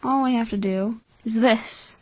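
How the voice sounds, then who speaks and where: A woman voicing a cynical, calculating twelve-year-old orphan boy in a measured, blunt anime-style fan dub. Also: on the high side, darkish tone, no echo. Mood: resigned, blunt.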